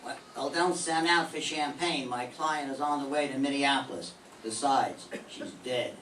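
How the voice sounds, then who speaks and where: A hard-boiled private eye, played by an older man in an old-time radio drama, dry and sardonic. His voice sits mid-pitched and comes from across a reverberant room, with a faint hiss underneath. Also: normal speed, a bit bright, distant mic, lightly acted. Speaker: amateur radio play performer. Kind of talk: 1940s radio acting.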